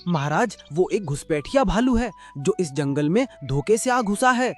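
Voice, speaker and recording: A man's voice: high voice, expressive, normal speed. A man doing a clever cartoon donkey, earnest and a little sly, with a slightly raised voice. Light music runs underneath. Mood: flattering. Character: clever loyal male donkey named Lucky. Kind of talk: cartoon voice acting.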